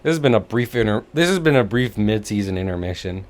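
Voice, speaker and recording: Low-voiced man chatting on a podcast. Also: fast talker. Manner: rambling, jokey, animated, swears casually. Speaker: podcast host. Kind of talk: casual podcast chat about animated series.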